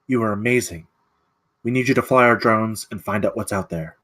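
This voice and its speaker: A man reading the part of a secretive government recruiter at a screenplay table read, measured and persuasive. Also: fairly low pitch, a bit bright, dry recording, natural voice. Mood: urgent, grave.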